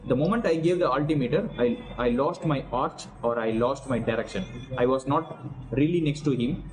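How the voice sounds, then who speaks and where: Guy vlogging, earnest step by step retelling, medium pitched voice, normal pace. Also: a little mellow, low-quality recording. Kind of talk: casual personal debrief to camera.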